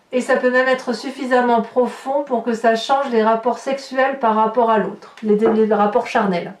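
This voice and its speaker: A woman with a fairly high voice talks viewers through an improvised tarot reading. She addresses them directly and expressively. The sound is very roomy, with the microphone some way off. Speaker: tarot reader hosting a horoscope video. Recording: roomy echo, distant microphone.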